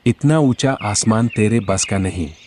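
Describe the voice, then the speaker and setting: Taunting male crow for a cartoon, played by a man; low, dismissive, slight outdoor ambience. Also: a little slow, darkish tone, slight background noise, natural voice. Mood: mocking. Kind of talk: Hindi cartoon story dialogue.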